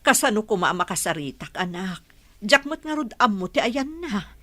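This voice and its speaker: A woman's voice: medium pitch, big pitch swings, normal speed, sharp tone. A woman with an Ilocano accent acting a concerned, affectionate mother in a radio drama.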